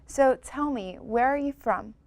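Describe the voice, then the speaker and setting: A woman's voice: high-pitched, a little slow, darkish tone, no room sound. A young woman hosting a youth show, asking her guests upbeat, curious questions. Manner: upbeat and inquisitive. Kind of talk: interviewing guests.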